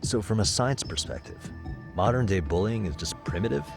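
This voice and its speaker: An actor voicing a calm, good-natured male private detective in a video game, relaxed and inquisitive. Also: lowish voice, fairly quick, creaky. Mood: calm, curious. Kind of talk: video game voice acting, conversational. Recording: background music under the dialogue.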